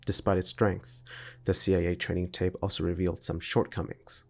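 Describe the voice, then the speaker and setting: A low male voice with plenty of vocal fry narrates a scripted video essay. He reads in a formal, academic way, laying out analysis point by point. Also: little pitch movement, fairly quick, music in the background.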